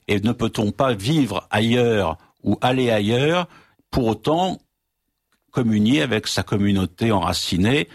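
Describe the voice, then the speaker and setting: Lowish male discussion moderator. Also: lively intonation, measured pace, no room sound, clean studio sound. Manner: questioning, hesitant with fillers. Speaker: host, moderator.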